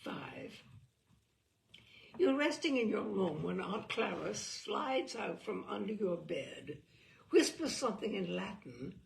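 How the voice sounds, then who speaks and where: A woman reading a book aloud as a storyteller, theatrical and expressive, with a wry, arch delivery. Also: medium pitch, slow, gravelly, slight background noise.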